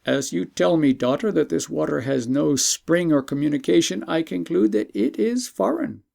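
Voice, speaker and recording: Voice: medium pitch, expressive, normal speed, gravelly, dark tone. Narrator playing a stately, gracious storybook emperor. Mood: pondering. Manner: stately, formal, emphatic. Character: dignified Persian emperor, gracious, credulous, fatherly.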